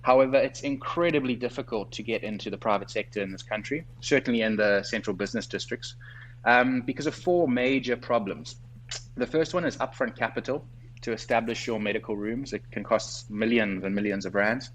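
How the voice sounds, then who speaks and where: A man's voice: medium pitch, fairly quick, fairly bright tone, low-quality recording. A South African man speaks as a guest in an interview, laying out his points one by one in an orderly, articulate way. He sounds a little tired, as it is late at night for him. A faint hum runs underneath his voice. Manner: articulate, structured explanations. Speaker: guest entrepreneur. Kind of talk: conversational interview.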